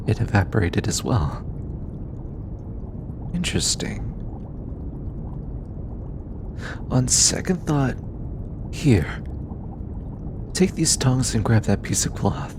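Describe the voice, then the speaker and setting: A man playing a gentle, studious alien scientist in an intimate audio roleplay, soft-spoken and patient, hesitant when flustered. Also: mid-range voice, noisy background. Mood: gentle, reassuring. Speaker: voice actor. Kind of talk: intimate scripted audio roleplay, addressing the listener.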